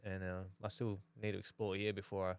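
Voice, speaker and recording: A man from New Zealand, in his thirties, chatting on a podcast in an easygoing, halting way with lots of restarts. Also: deep voice, flat delivery, clean tone. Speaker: podcast co-host. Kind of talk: casual chat.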